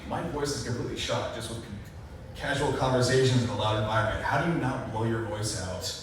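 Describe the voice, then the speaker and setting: Hesitant man asking questions in an echoey hall. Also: on the low side. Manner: hesitant, halting, starts with fillers. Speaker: fan asking questions at a convention panel. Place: large convention hall. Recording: echoey hall recorded from far off, background noise.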